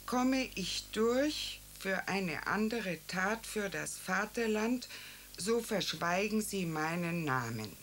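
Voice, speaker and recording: An actress playing a woman who reads out a formal letter slowly in a radio drama. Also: medium pitch, expressive, a bit bright, slight background noise. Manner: slow, formal reading.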